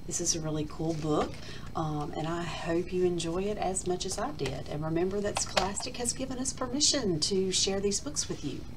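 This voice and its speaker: A woman reading a children's picture book aloud like a teacher, with deliberate, expressive storytelling and dramatic emphasis. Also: on the low side, background noise.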